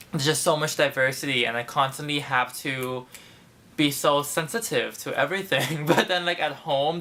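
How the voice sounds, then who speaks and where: A young woman with a bright, forward voice gives casual interview answers. She talks informally, as someone sharing her own experience. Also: low voice, a little hiss or hum. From Bangkok, Thailand and Toronto, Canada.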